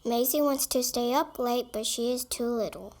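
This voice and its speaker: A woman narrating a children's picture book in a slow, careful read-along way. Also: fairly high pitch, a little mellow. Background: faint added music.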